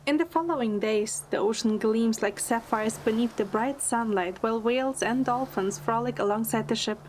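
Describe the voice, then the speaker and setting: A woman's voice: fairly high pitch, moderate pace, a little hiss or hum, natural voice, rough recording. A woman playing a devoted young heroine in love, narrating an audio drama in the first person with earnest, dramatic delivery. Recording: loud music bed under the voice. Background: loud music.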